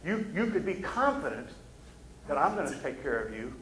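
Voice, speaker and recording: Plain-spoken Midwestern pastor, echoey church. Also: male voice, a little high, big pitch swings, normal speed. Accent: Midwestern American. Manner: casual, plain-spoken, asks the room for agreement. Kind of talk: conversational sermon. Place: church stage, behind a pulpit.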